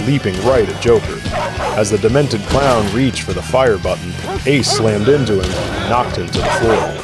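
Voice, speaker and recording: A man's voice: lowish voice, moderate pace, loud music behind the voice. A man narrating an audio drama in a scripted storytelling style.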